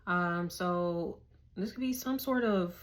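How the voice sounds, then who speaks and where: Hoarse, chatty woman reading tarot. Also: lowish voice, slow. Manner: chatty, loose, slangy, thinks aloud. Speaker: tarot reader.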